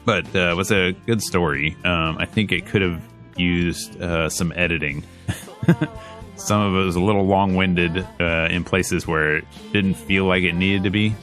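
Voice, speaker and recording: Male playthrough host, deep register, off-the-cuff and halting, soft music underneath. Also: fairly even intonation. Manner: rambling, hesitant. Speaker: host of a game playthrough series. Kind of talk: casual spoken commentary.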